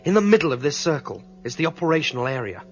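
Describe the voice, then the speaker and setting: Radio drama: guarded fugitive planner, fast-spoken male, reasoning plainly, muffled sound with some noise. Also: on the high side, big pitch swings, faint music behind. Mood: determined. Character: calm, determined fugitive leader explaining the plan. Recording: dull radio drama recording, faint hiss.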